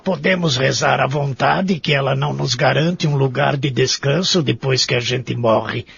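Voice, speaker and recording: An actor playing a doubting, grumpy old man in an audio drama, speaking formally and reflectively with a husky, creaky voice. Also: mid-range voice, moderate pace, a bit bright, no echo, muffled recording, heavily acted. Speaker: narrator and lead character. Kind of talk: audio drama acting and narration.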